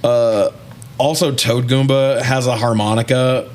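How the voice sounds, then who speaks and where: A man's voice: mid-range voice, steady tone, measured pace. A man co-hosting a podcast, casually recapping a film in an irreverent, wry way and swearing freely. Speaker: podcast co-host.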